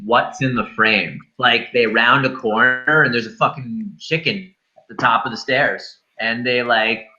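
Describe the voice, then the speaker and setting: Male film chat host, mid-pitched, bright and punchy, emphatic slang, slightly dull lo-fi recording. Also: fairly even intonation, unhurried. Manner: emphatic, colloquial, swears freely. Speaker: film review show host.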